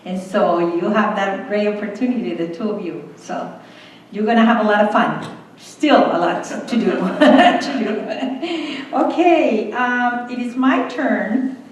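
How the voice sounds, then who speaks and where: Slow woman in echoey hall. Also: medium pitch. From El Salvador. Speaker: school board president. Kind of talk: public meeting remarks. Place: meeting room. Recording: echoey room, distant mic.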